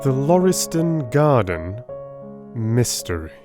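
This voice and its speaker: A man narrating an audiobook as dramatic literary reading, in a theatrical, deliberate manner. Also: mid-range voice, very animated, music in the background.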